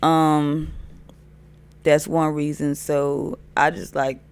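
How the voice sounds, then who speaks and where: A woman DJ with a deep voice, chatting as a podcast guest in a laid-back, hesitant way. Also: little pitch movement, slow, smooth. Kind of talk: casual interview chat.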